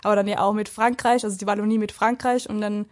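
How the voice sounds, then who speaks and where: A woman's voice: medium pitch, steady tone, fast. A young woman chatting casually, thinking aloud with many fillers. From Austria, border region with Czechia and Germany. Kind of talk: casual chat.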